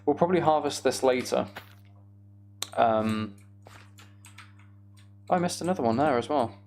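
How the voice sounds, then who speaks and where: Man chatting casually with creaky voice. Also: mid-range voice, a little mellow, a little hiss or hum. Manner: unhurried, thinking aloud while playing. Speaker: streamer. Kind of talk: casual gaming livestream chat.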